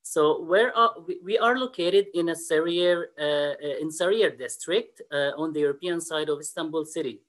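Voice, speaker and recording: A man whose first language is Arabic, an admissions officer giving a webinar presentation over a computer mic, often pausing on fillers. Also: fairly high pitch, fairly bright tone. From Middle East and North Africa. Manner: hesitant, frequent uh fillers and restarts.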